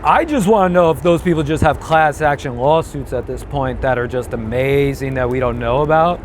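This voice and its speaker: A man's voice: medium pitch, normal speed, slight background noise. A male comedian guest in a casual street chat, telling rambling, profane stories. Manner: rambling storyteller with swearing. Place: outdoors on a city street.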